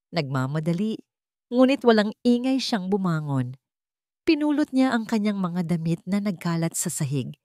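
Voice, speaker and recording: A Filipino woman reading a scripted romance story as narrator, with dramatic, deliberate phrasing. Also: fairly low pitch, darkish tone, no echo, studio quality.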